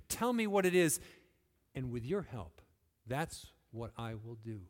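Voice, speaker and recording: A man from West Michigan preaching a sermon in a church, earnest, pushing phrases up and then letting them fall quiet. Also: on the high side. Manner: earnest, rising and falling emphasis, quiet closing phrases. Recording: long hall reverb.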